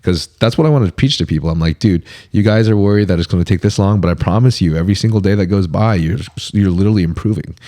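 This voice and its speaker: A man hosts a casual podcast. His voice is low and mellow, and his easygoing chat is full of dude and like. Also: fast talker, hardly any pauses, no room sound, clean studio sound. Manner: laid-back, uses dude and like a lot. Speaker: podcast host.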